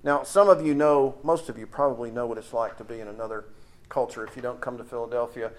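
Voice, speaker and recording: A middle-aged man with a Texas accent preaching in a conversational, emphatic way, pausing on key phrases. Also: medium pitch, steady tone. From Texas, United States. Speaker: preacher and seminary teacher. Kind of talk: expository sermon with anecdotes.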